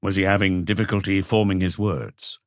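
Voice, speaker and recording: A man voicing a calm, probing police inspector in an audiobook, with measured, terse questioning. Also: deep voice, no echo, clean studio sound.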